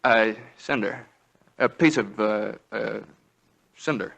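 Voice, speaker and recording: An actor playing an eager, nervous young newlywed lawyer who stammers and placates, in 1940s radio comedy-drama style. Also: male voice, lowish voice, slow pace, vocal fry, a bit bright, breathy edge, muffled recording, exaggerated voice. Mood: nervous, deferential. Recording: 1940s radio broadcast recording.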